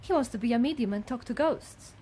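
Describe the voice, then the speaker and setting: A woman acts out a fussy older housekeeper from a video game. She is high-pitched, judgmental and gossipy, speaking with haughty primness. There is clear noise and ambience behind her. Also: brisk, mellow, lightly acted. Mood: scornful, gossipy. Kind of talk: scripted game character dialogue.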